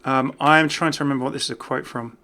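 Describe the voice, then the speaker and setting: A man's voice: mid-range voice, steady tone, fast talker, sharp tone, slight background noise. A man giving casual, off-the-cuff game commentary as a YouTuber, chatting and thinking aloud as he goes. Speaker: gaming YouTuber.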